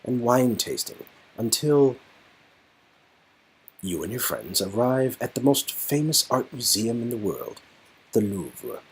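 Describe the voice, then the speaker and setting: A man's voice: mid-range voice, sing-song, slow pace, slightly rough, low-quality recording. A man reading an interactive story game aloud as narrator, with casual joking asides.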